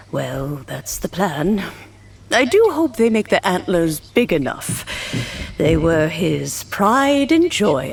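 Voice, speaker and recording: A woman voicing a kindly older woman in a video game, who tells an old legend in a slow, soft, reflective way. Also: on the low side, slightly rough, full character voice. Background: game music.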